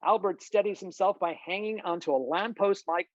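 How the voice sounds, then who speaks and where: A woman's voice: medium pitch, moderate pace, no room sound. A woman reading stage directions as scripted narration at a table read, on slightly dull video-call audio.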